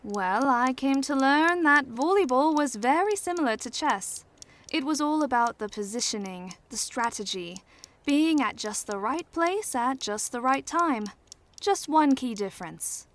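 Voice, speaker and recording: Poised, cunning, high-voiced chess mentor. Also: female voice, lively intonation, a little slow, a bit bright. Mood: probing, needling. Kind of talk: audio drama dialogue.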